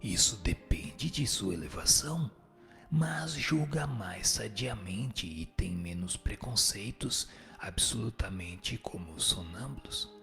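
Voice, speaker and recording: Solemn spirit instructor for radio drama, male actor: low, gravelly, didactic and declamatory. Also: animated, slow pace, pushed and bright, heavily acted. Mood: solemn, instructive. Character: solemn spirit instructor answering questions patiently. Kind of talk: scripted radio drama dialogue. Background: music.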